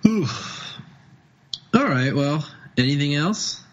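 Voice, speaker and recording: A man's voice: fairly high pitch, animated, slow pace. A man co-hosting a podcast, giving casual, laid-back and slightly hesitant reactions. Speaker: podcast co-host. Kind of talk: casual chat, brief reactions. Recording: dull lo-fi sound, faint noise.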